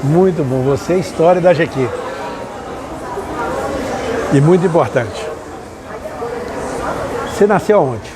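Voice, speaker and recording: A man's voice: a little high, animated, unhurried, pauses often. A man conducting an informal interview, often repeating his own phrases as he goes. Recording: noisy location with vehicle sounds.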